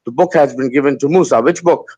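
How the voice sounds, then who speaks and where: A man's voice: medium pitch, fast talker, warm tone, breathy edge, no room sound, lo-fi sound. A man in a heated debate, speaking defensively and insisting that the other side listen to him. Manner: defensive, insists on being heard. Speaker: debate guest.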